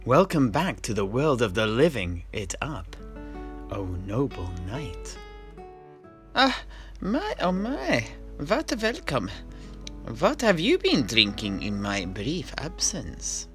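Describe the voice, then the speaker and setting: A man's voice: medium pitch, wildly swooping pitch, takes it slowly. A man playing a jovial, tippling fantasy tavern keeper, telling stories in character in a hammy, hesitant, musing way. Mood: amused welcome. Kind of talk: in-character theatrical fantasy storytelling. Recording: music behind the voice.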